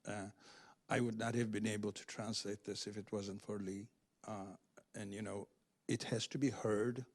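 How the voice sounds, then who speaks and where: A man speaking as a literary translator at an event, explaining in a halting, searching, scholarly way without a script. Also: fairly low pitch, flat delivery, slightly rough. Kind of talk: unscripted explanation at a reading Q&A.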